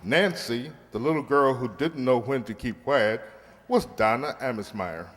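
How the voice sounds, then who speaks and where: A man's voice: medium pitch, a little slow, a bit bright. A man announcing scripted closing credits in the style of an old-time radio show. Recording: reverberant hall, clear noise.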